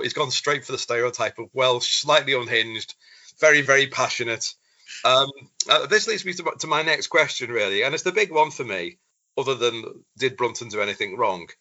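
Male podcast host, keen and stammering, bright tense tone, dull recording. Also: mid-range voice. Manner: enthusiastic, stammering restarts, acts out quotes. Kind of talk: casual podcast chat.

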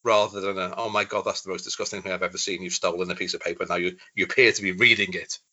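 Male podcast host, keen and stammering, bright tense tone, dull recording. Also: sits low, steady tone, fast. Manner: enthusiastic, stammering restarts, acts out quotes. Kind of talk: casual podcast chat.

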